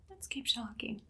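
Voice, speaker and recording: A woman playing an imperious, idly cruel evil queen in an ASMR roleplay, soft-spoken and often whispering in a languid, condescending way. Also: on the high side, exaggerated voice. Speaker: ASMR performer.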